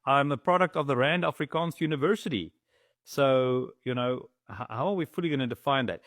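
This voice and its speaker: A man's voice: mid-range voice, fairly quick, a bit bright, no room sound, clean studio sound. A South African man speaking as a chamber of commerce spokesman in a news interview, hesitant, with many fillers and restarts.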